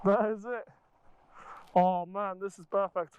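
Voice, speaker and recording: A man's voice: sits high, fairly even intonation, a little slow, darkish tone, dull audio. A native Polish man chatting casually and swearing freely with his riding mates over a helmet mic outdoors. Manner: sweary, informal banter. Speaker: motorcycle vlogger. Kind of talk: casual chat with riding companions. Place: outdoors on a motorcycle ride. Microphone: helmet-mounted microphone. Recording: noisy helmet intercom outdoors.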